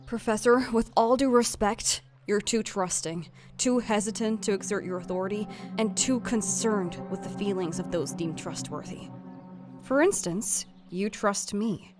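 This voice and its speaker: A woman voicing a brisk, formal, scheming female staff aide, articulate and dryly businesslike, in a dramatic fandub. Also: medium pitch, darkish tone, faint music behind, lightly acted. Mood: pointed, urgent.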